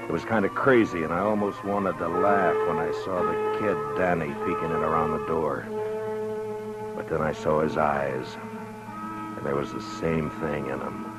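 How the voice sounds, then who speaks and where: Calm American western marshal, very low voice, dry measured delivery, old radio drama, music behind. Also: male voice, rough recording. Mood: brooding, uneasy. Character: calm, dry frontier marshal narrating his own story. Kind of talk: 1950s radio western acting, hard-boiled narration.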